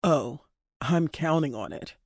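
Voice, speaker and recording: A woman's voice: low voice, lively intonation, slow, husky, no room sound, clean studio sound, voice pushed down, heavily acted. A woman voicing a commanding, mocking male kidnapper with curt delivery in an audiobook story.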